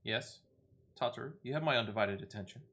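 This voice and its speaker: A man's voice: mid-range voice, quick pace, fairly bright tone, lightly acted. A man voicing a composed, courteous female leader in a fantasy video game, with formal phrasing. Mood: attentive, gentle.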